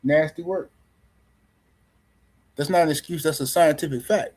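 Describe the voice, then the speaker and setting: Male stream host, slightly high-pitched, loose teasing delivery, rambling casual talk. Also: moderate pace, darkish tone. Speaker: boxing livestream host. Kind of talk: casual live-stream commentary.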